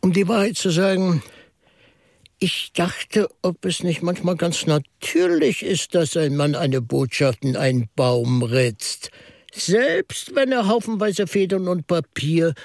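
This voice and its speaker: An actor playing a shrewd, whimsical priest-detective in a German radio drama, with a deliberate, musing delivery and a gravelly, husky voice. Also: male voice, high voice, expressive, dry recording, studio quality, heavily acted.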